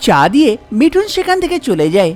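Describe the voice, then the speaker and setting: A woman's voice: sits high, sing-song, fast, a little mellow, faint music behind. A woman narrating a scripted cartoon folk tale. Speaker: storyteller, narrator.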